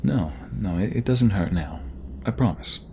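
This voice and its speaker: A man's voice: on the low side, lively intonation, unhurried, creaky, mellow, slight background noise. A man playing a young romantic mobster, protective and teasing, in an intimate ASMR audio roleplay. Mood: tender, teasing.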